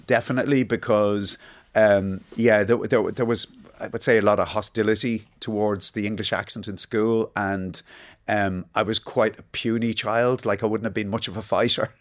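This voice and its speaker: A man in his fifties, a chatty guest telling stories in an interview and laughing easily. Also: lowish voice. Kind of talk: relaxed interview chat.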